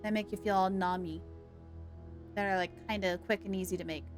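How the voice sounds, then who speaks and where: A woman's voice: medium pitch, steady tone, a little fast, faint music behind. A woman live streamer chatting casually and playfully with her viewers.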